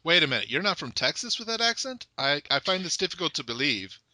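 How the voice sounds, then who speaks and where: A man's voice: on the high side, big pitch swings, fast talker, pushed and bright, dry recording. A man hosting a casual chat, playful and joking. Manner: playful, joking, frequent laughs. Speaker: podcast host.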